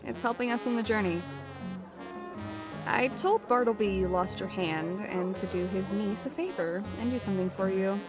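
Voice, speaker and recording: A woman acting as a young, brash adventurer who likes to tease, in a fantasy audio play. Her voice sits at a middle pitch with music clearly underneath. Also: moderate pace, natural voice, rough recording. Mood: frank, brisk.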